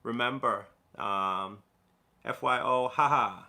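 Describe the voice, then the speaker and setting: A man from Newcastle hosts a casual livestream, reading out viewer comments and answering them. His voice is gravelly with a bright, forceful edge. He talks loosely, with lots of fillers and restarts. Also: medium pitch, slow. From Newcastle, England. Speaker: livestream host.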